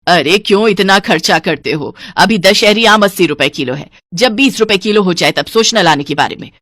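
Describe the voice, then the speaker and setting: A woman plays a stingy middle-aged mother-in-law from a cartoon family tale. She is bossy and grumbling, scolding and scheming over every rupee, in a mid-pitched voice recorded close and dry. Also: lively intonation, normal speed, fairly bright tone, dry recording. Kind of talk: Hindi cartoon moral-story acting.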